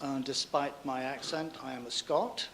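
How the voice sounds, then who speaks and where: A man's voice: medium pitch, fairly even intonation, moderate pace, a little hiss or hum. A man in the audience making an earnest, insistent case in his question from the floor. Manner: earnest, grows louder and insistent. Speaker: audience member asking a question.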